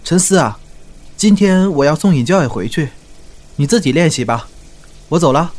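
A man acting as a gentle, reassuring kitchen colleague in an audio drama. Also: on the high side, expressive, moderate pace.

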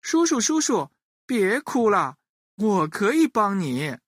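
A woman's voice: medium pitch, big pitch swings, slow pace, breathy edge, dry recording, studio quality, exaggerated voice. A woman voicing a kind, clever little cowherd boy, cheerful, confident and eager, in a children's story. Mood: reassuring, eager. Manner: eager, repeats words when calling out.

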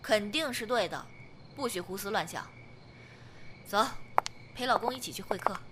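A woman playing a steady, protective young partner with a firm temper, speaking earnestly in a modern Chinese audio drama. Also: mid-range voice, moderate pace, noisy background, close to an everyday voice. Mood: firm, reassuring.

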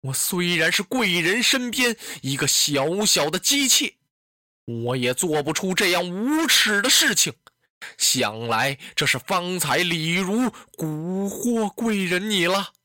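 A storyteller as young beautiful concubine, tearful, pleading, indignant, bright lively voice, storytelling drama. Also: mid-range voice, takes it slowly, no echo, studio quality, full character voice. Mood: tearful indignation.

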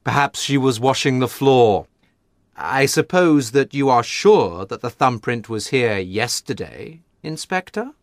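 Shrewd gentleman detective, courteous and ironic, far-swinging intonation, slow deliberate speech, for an audiobook. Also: mid-range voice, lively intonation, dry recording, studio quality, voice pushed up. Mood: thoughtful, ironic. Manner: thoughtful, deliberate. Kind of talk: dramatised audiobook storytelling.

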